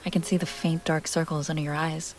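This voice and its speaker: Low-voiced, teasing vampire heiress, played by a woman. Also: flat delivery, a little fast, soft background music, close to an everyday voice, low-quality recording. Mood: warm, encouraging. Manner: playful, smooth-talking, addressing the listener directly. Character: teasing, self-assured young female vampire heiress. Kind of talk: intimate roleplay audio drama.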